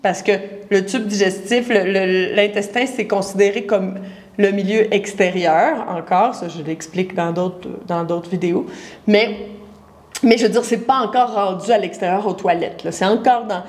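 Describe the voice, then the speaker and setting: Woman, 40s, Quebec French accent, naturopath, chatty and direct with the viewer, mid-pitched voice. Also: moderate pace, darkish tone. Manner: chatty, addresses the viewer directly, explains with everyday comparisons. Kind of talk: casual live talk to viewers.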